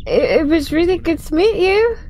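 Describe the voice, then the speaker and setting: A man voicing a friendly, polite young female alien ensign in a high falsetto for a tabletop game. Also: very animated, moderate pace, darkish tone. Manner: friendly, welcoming.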